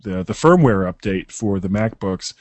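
A man's voice: fairly low pitch, expressive, normal speed, no room sound. A podcast co-host talks in an easygoing, joking way, often hesitating and restarting his sentences. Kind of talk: casual tech podcast chat.

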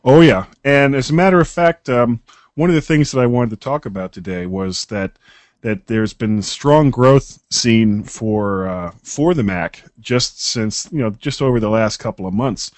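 A man's voice: medium pitch, unhurried, no room sound. A podcast co-host talks in an easygoing, joking way, often hesitating and restarting his sentences. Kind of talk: casual tech podcast chat.

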